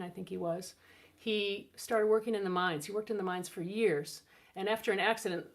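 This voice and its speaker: A woman co-hosting a music show, chatting casually between songs in a soft, rambling way with plenty of fillers. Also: mid-range voice.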